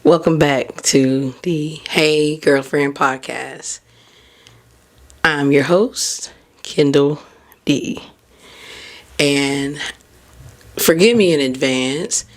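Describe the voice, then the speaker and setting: Hoarse woman giving a chatty pep talk. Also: low-pitched, drawn-out words, pauses often. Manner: candid, encouraging, chatty with "like" and "you know". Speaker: podcast host. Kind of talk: casual motivational talk.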